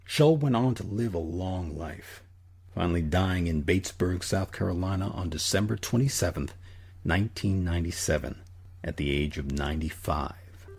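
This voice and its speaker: A man narrating a scripted history documentary as its host in a measured, solemn, deliberate manner. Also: low voice, darkish tone, slightly rough. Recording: narration over a music bed. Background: music.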